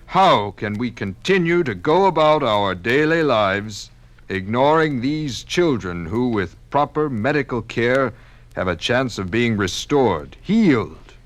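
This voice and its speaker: A grave, caring American physician of the 1890s in an old radio drama. He speaks slowly and solemnly, with wide, expressive swings of pitch, like a man addressing officials on a matter of conscience. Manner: solemn, deliberate oratory. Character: grave, compassionate 1890s doctor making a plea. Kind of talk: 1950s radio drama acting.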